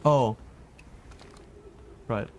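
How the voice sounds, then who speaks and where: A man's voice: very slow, a little hiss or hum. A man chatting casually while playing a game on a livestream. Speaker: livestream gamer. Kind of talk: casual game stream chat.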